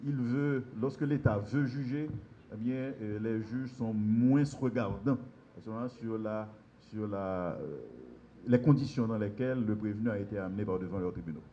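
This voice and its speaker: A man's voice: on the low side, normal speed, dark tone, dull audio, a little hiss or hum. Man lecturing in a very echoey hall. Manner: hesitant, many fillers and restarts. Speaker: legal conference speaker. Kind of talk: lecture on extradition law.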